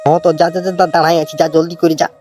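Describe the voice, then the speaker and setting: A man's voice: fairly high pitch, level tone, rapid-fire, a little mellow, soft background music, lightly acted. A man voicing a put-upon, complaining village ice cream seller in a comedy cartoon, with a Purulia Bengali accent. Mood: brusque. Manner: complaining, brusque.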